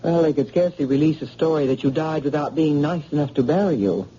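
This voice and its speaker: A man's voice: a little high, quick pace, warm tone, slightly rough. An actor playing a friendly, chuckling man visiting a patient, on a dull old radio recording.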